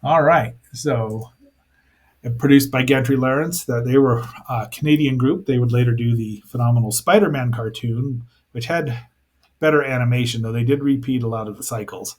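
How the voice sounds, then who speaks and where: A man's voice: mid-range voice, moderate pace. A man hosting a casual review show, rambling and thinking aloud with playful asides as he comments on a cartoon.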